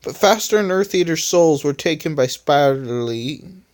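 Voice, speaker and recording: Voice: mid-range voice, lively intonation, moderate pace, darkish tone, voice pushed up, natural voice. A reader voicing an earnest young male hero who explains things and pleads with his father. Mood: explaining. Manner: urgent, emotional.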